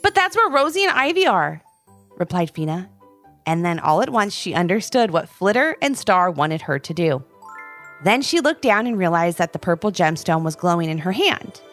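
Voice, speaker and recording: A woman's voice: on the low side, expressive, normal speed. A woman narrating a scripted children's story expressively, with dramatic emphasis. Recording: music under the voice. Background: music.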